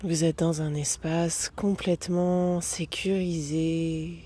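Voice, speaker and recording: A woman leads a guided relaxation at a very slow pace, leaving space between her gentle phrases. Her voice is mellow and rather low, calm and soothing as she gives instructions like a yoga teacher. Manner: calm, unhurried, gentle phrasing with long pauses.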